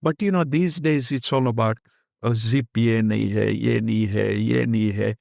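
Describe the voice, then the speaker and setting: An older man with an Indian accent talks in a rambling, heartfelt way, dropping Hindi phrases into his English. His voice has a gravelly edge, and the recording is dry and close. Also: fairly low pitch, fairly even intonation, racing, a little mellow, dry recording. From Kenya. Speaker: guest. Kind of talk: candid conversational interview.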